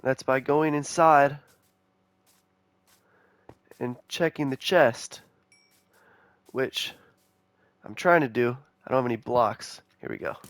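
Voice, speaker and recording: A young man giving casual, rambling commentary over a game, excitable and chatty with viewers, his voice a little nasal from a cold. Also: medium pitch, slow, pauses often, no room sound. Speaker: gaming YouTuber.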